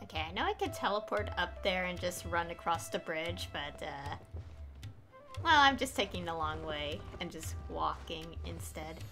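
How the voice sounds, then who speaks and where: A woman's voice: lowish voice, expressive, moderate pace. A woman streaming a video game, giving casual commentary as she plays. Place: stream voice-over with game audio. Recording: game music behind.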